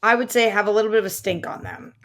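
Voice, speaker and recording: A woman's voice: mid-range voice, fast talker, fairly bright tone. A woman co-hosting a movie talk podcast, chatting informally and rambling through her opinions with plenty of slang. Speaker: co-host of a film talk podcast. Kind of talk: casual chat and opinion about movies.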